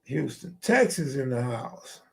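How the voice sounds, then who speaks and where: A man's voice: medium pitch, sing-song, a little slow, creaky. An American man hosting a live stream, chatting casually in slangy, profane, streetwise talk.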